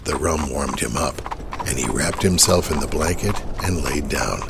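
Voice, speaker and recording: Deep-voiced male horror narrator. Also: normal speed, very noisy. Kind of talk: dramatic scripted horror narration. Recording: clear horse and carriage ambience behind.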